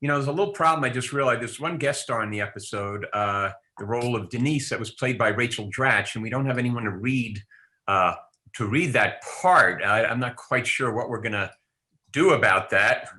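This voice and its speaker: Male presenter and stage-direction reader: bright, forceful tone, informal, halting. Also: medium pitch. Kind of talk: live event introduction and stage-direction reading.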